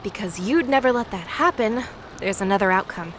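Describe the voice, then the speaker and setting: A woman playing a bold young warrior goddess who sees the future, measured, confident and ominous in an audio drama. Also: medium pitch, expressive, a little hiss or hum, lightly acted. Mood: stern. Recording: loud dramatic music behind the voice.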